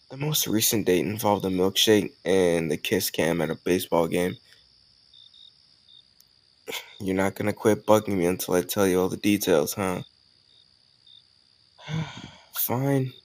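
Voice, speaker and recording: Male actor as cocky college charmer, young, low creaky voice, casual teasing delivery, romantic audio roleplay. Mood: amused, reluctant. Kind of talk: scripted romantic audio roleplay addressed to the listener.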